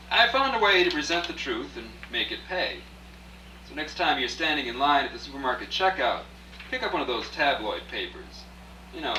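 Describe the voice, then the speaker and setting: A man's voice: medium pitch, natural voice. A man playing a wry writer who narrates his own story in a radio play, confiding in the listener and putting questions to them. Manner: confiding, rhetorical, addressing the listener directly. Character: wry out-of-work male writer turned rich storyteller. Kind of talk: live radio play, first-person dramatic narration. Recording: echoey distant live rehearsal, faint hum.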